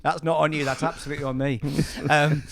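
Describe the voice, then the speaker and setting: A man's voice: on the high side, flat delivery, a crowd in the background. A man from England co-hosting a comedy podcast, teasing the others and laughing often. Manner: teasing, quick to laugh, lots of restarts. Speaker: podcast co-host.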